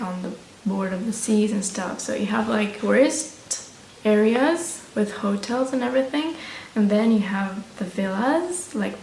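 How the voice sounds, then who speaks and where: A woman's voice: mid-range voice, vocal fry, a little mellow. Vlogger, young adult woman, Romanian accent, casual rambling delivery, recorded roomy and distant with faint noise. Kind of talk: casual chat to camera.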